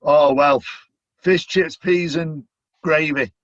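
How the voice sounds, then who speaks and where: A man's voice: a little high, fairly even intonation, slow, no room sound. A middle-aged man with a Manchester accent, chatting upbeat as an interview guest, full of "you know" fillers. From Manchester, England. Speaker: guest, stadium announcer. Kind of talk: casual interview chat. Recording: dull, dry lo-fi audio.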